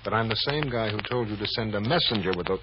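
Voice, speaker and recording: An actor playing a brisk, exasperated lawyer on the run in an old-time American radio drama, clipped and impatient. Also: male voice, fairly low pitch, lightly acted. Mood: stern, urgent. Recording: muffled, very noisy old radio recording.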